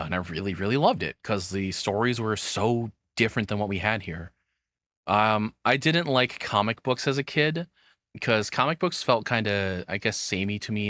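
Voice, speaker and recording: A man's voice: deep voice, a bit bright, no room sound. A man in his thirties giving casual, rambling reaction commentary as the host, swearing freely. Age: 30s.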